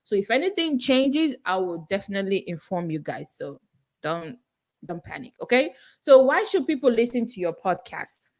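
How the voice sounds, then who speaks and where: A woman's voice: medium pitch, big pitch swings, normal speed. Nigerian-accented woman hosting a podcast. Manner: earnest, self-encouraging, frequent fillers. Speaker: podcast host. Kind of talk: solo livestream Q&A.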